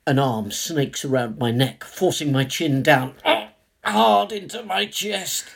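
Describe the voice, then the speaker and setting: An actor playing an anxious man who narrates his own ordeal in a slow, descriptive literary audio story, with a husky voice. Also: fairly high pitch, heavily acted. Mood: uneasy. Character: anxious male former game show host, narrating his own ordeal.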